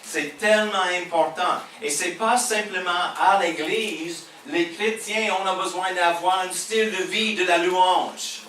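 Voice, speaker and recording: A man with an American accent mixed with a Québécois French one, a pastor preaching a sermon in halting French with many fillers and light jokes. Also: high voice, a bit bright, slight background noise. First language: English. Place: church hall. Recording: echoey hall heard from a distance.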